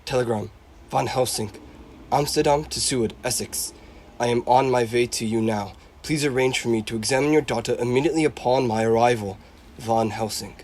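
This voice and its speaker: A grave professor, acted by a man, in a radio play. He speaks formally in a medium-pitched voice, stern and probing. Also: a little fast, a little mellow, slight background noise, lightly acted. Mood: clipped, formal. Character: brisk, grave visiting Dutch professor investigating illness. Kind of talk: stage radio drama acting.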